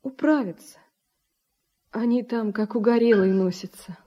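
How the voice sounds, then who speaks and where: An actress playing a caring but sharp-tongued young farm woman in a radio drama. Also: on the high side, a little slow, highs cut off, a little hiss or hum, natural voice. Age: young adult. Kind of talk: Soviet radio drama acting.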